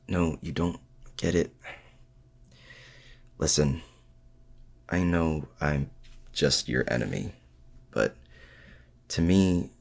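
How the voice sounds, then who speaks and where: An extremely low, husky male voice with plenty of fry , a man acting out the part. He is a vulnerable, lovestruck supervillain in an intimate ASMR roleplay. His delivery is halting and earnest, with a protective tenderness. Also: full character voice. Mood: worried, pleading. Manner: halting, earnest, with hesitant restarts.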